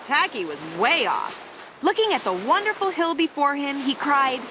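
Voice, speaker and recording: A woman reading a children's picture book aloud in an animated, theatrical manner with dramatic emphasis. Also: high-pitched, moderate pace, noisy background. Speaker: storybook reader.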